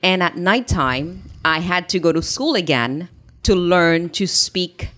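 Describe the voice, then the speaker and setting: Low-voiced woman telling her story. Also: lively intonation, fairly bright tone. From Haiti. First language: Haitian Creole. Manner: earnest, measured storytelling. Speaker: interview guest, business founder.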